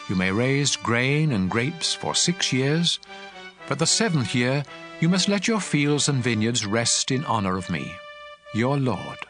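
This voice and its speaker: A stern, authoritative God played by a man lays down law in a dramatized scripture reading. The delivery is solemn and measured, with a mid-pitched male voice. Music plays clearly underneath. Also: very animated. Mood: solemn, instructive. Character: God of Israel, stern commanding male lawgiver.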